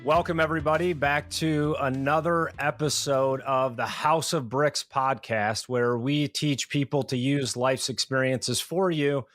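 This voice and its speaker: A man hosting a podcast interview, introducing guests in a measured, deliberate way with "uh" fillers. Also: mid-range voice, fairly even intonation, fairly bright tone, faint music behind. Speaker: podcast host.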